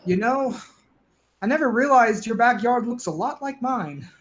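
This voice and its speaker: A man's voice: very high-pitched, animated, moderate pace. A man playing a down-on-his-luck, bickering but conciliatory neighbor in a stage play, talking in a wry, colloquial way. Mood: wry, conversational.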